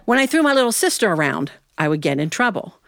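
An American woman telling a humorous personal tale as a storyteller, in a folksy, animated manner with dramatic pauses. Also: fairly low pitch, gravelly, no echo, studio quality. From Ohio, United States.

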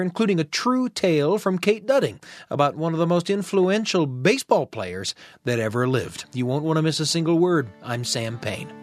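A man's voice: fairly high pitch, no room sound, studio quality. A man hosting a radio show in an enthusiastic, welcoming way, moving between scripted links and friendly conversation.

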